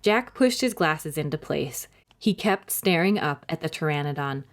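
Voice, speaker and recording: Female storytime reader, highly varied intonation, dramatic and clearly phrased delivery for young listeners. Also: animated, normal speed. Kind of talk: expressive scripted storybook narration for children.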